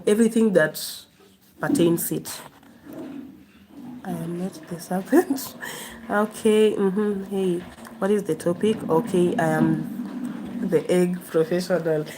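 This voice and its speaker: A woman's voice: fairly low pitch, noisy background. A woman from Kenya, hosting a casual live stream, chatting and laughing as she answers viewers' comments.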